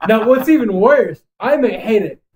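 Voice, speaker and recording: A man co-hosting a podcast, chatting casually about movies in an opinionated, animated manner. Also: high-pitched, normal speed. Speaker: podcast co-host.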